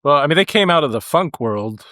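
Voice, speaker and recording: A man's voice: on the high side, lively intonation, fairly quick, bright, forceful tone, no echo, studio quality. A middle-aged man co-hosting a podcast, chatting casually and a little tentatively about music. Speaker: podcast co-host.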